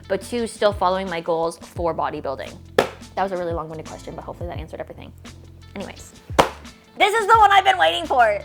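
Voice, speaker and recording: A woman of 29 in a casual vlog, answering fitness questions and unboxing toys. She rambles with a chatty, filler-packed flow and breaks into squealy delight over cute finds. Her voice sits in a middle pitch over faint background music. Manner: chatty and rambling, full of "like" and "okay?". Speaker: fitness creator and bodybuilder.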